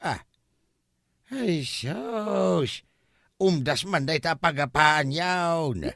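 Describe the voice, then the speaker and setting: A man's voice: fairly high pitch, lively intonation, very slow, creaky, fairly bright tone, no room sound, clean studio sound, exaggerated voice. A man with an Ilocano accent playing an exasperated, teasing countryman in a comedy-drama. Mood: exasperated, resigned.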